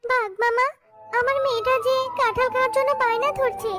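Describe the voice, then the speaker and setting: An actor voicing a poor widowed mother fox in a children's cartoon, gentle but firm, pleading and motherly. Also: extremely high pitch, flat delivery, a little fast, music in the background.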